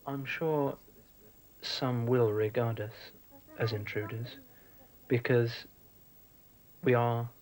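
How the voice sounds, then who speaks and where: A man from England talking in a reflective way, with his voice held very level and even. His pitch sits in the middle range for a man. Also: slow, pauses often, poor audio. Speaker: art teacher. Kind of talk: documentary interview.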